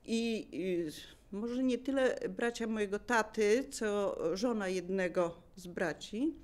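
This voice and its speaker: A woman casually sharing memories of family life, in the relaxed style of oral history. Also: mid-range voice, takes it slowly. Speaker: local resident sharing memories.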